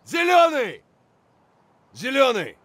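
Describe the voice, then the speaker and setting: An actor playing an aggressive, foul-mouthed Russian soldier barking urgent, coarse shouts in a military shooter game. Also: male voice, very high voice, huge pitch swings, slow pace, no room sound, full character voice.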